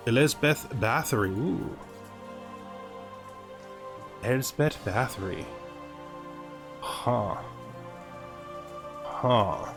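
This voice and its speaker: Guy doing casual let's play commentary, thinks out loud and asks himself stuff, game music behind him. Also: mid-range voice, animated, extremely slow, long pauses. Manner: musing, mutters questions and answers to himself. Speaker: gamer narrating a let's play.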